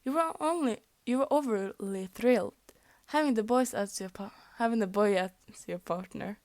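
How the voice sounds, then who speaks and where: A woman's voice: on the high side, normal speed, darkish tone, no room sound, studio quality. A young woman whose first language is Norwegian, reading fan fiction aloud, often tripping over words and laughing at her slips. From Norway. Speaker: fanfic reader.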